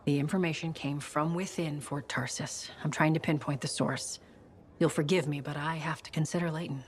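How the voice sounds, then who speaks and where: An actress playing a stern, businesslike intelligence chief in a video game. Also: female voice, low-pitched, steady tone, moderate pace, close to an everyday voice. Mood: cool. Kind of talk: video game cutscene acting.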